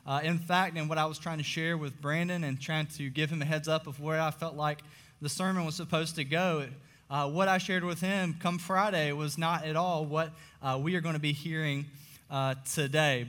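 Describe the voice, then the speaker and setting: A young man, a pastor, preaching a sermon in a church auditorium, his voice slightly hoarse, earnest and full of "uh" fillers. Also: fairly high pitch, fairly bright tone. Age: young adult. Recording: long reverb of a large hall.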